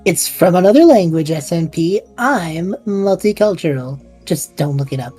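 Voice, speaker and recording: Low, mellow, bewildered young man played by a woman. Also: lively intonation, moderate pace, breathy edge, soft background music. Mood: wry. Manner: hesitant, casual, wry. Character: bewildered, supportive young man lost in another world. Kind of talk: audio drama acting.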